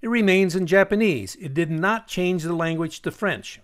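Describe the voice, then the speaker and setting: A man with a high-pitched voice hosts a software tutorial voice-over. He explains each step in a deliberate way and puts pointed emphasis on what matters. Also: animated. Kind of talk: screencast tutorial narration. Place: voice-over for a screen recording.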